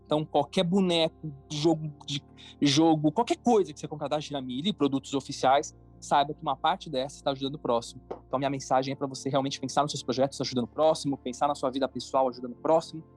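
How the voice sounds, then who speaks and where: A man's voice: on the high side, steady tone, fast talker, darkish tone, soft background music. A man with a Brazilian Portuguese accent speaking casually and emphatically as a podcast guest, often repeating phrases to drive points home. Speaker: podcast guest, cartoon creator and entrepreneur. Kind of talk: casual interview talk.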